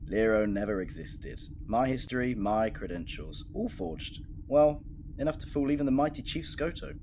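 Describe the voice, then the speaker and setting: A man's voice: mid-range voice, expressive, moderate pace, darkish tone, lightly acted. A man acting a gloating, scheming villain in a sci-fi audio drama, a youthful-seeming schemer with a smug, mocking, theatrical delivery. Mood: smug mockery. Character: gloating scheming male villain who passes for twenty, really over sixty. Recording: noisy home-made recording.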